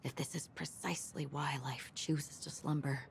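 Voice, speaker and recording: An actress voicing a calm, forgetful young swordswoman in a game, speaking quietly and half-whispered. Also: low voice, moderate pace, vocal fry, a little hiss or hum. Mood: wistful. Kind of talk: game voice acting. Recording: game audio with music underneath.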